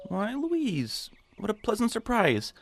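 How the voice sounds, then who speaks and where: A man's voice: high voice, huge pitch swings, slow, gravelly, slight background noise, heavily acted. An actor playing a meek, henpecked, hapless man who stammers and whines in a radio comedy. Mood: flustered, anxious. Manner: stammering, whiny, exclaiming. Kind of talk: modern revival of old-time radio comedy drama acting.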